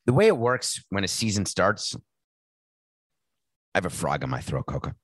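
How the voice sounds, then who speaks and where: A man hosting a sports podcast, with a raspy voice and a sardonic, mocking way of talking. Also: deep voice, big pitch swings, normal speed, no echo. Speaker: podcast host. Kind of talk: sarcastic sports commentary.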